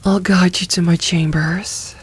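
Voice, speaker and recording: A woman voicing a possessive, teasing succubus demoness in a soft ASMR roleplay, coaxing and soothing, with some breathy moments. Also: lowish voice, steady tone, a little slow.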